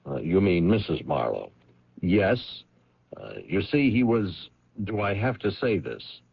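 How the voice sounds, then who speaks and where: A man playing a probing, skeptical police lieutenant interrogating people in a radio drama, with pointed questions and deliberate pauses. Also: low voice, very animated, creaky, husky, no room sound, full character voice. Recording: dull old radio recording.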